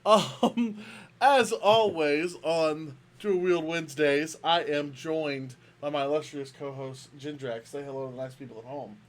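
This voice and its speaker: A man's voice: on the high side, measured pace. Rambling man on a gaming podcast. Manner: rambling, restarts often, playful grandiose greeting. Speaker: podcast co-host. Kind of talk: casual gaming podcast chat. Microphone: microphone over a remote call.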